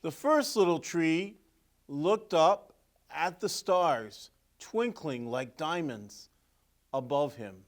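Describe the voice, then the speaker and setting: A man reading a children's story aloud as a clergyman, with deliberate, emphatic phrasing and pauses. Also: fairly high pitch, animated. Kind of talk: expressive storybook read-aloud with asides on pictures.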